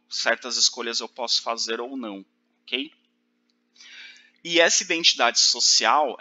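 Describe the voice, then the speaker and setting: Guy teaching a class, really bright voice, walks through it slow with lots of um and ok. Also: a little high. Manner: explains step by step, many fillers and restarts, checks with "ok?". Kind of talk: recorded lecture.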